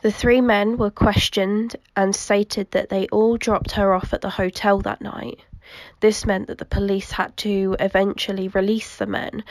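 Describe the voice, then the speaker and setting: A young woman chats through a true crime story, her voice gravelly with vocal fry as she recovers from the flu. She fills her talk with ums and keeps an unhurried pace. The sound is close and dry, with no room echo. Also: mid-range voice. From United Kingdom. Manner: frequent ums, soft and unhurried. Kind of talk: casual true crime vlog while colouring.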